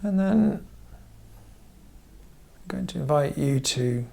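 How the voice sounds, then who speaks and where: A man guiding a meditation as a therapist, giving gentle instruction in short, measured phrases with frequent pauses. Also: a little high, animated, vocal fry. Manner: measured, pausing often between short phrases. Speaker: therapist leading guided meditation.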